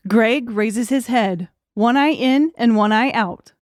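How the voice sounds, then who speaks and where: A woman reading scripted narration for a dark thriller audiobook. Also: fairly high pitch, takes it slowly, dry recording, clean studio sound.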